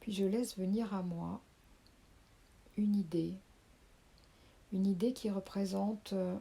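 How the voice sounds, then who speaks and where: A woman leading a guided sophrology relaxation, speaking softly and calmly and sometimes dropping to a whisper. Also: medium pitch, vocal fry, husky. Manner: slow, soft, calming, with whispered phrases. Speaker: sophrology teacher.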